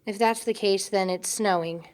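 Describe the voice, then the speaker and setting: A woman plays a young party guest in a film drama. She talks casually and drily, at a middling pitch for a woman. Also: fairly even intonation, moderate pace, a little mellow, natural voice. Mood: dry. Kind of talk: film dialogue.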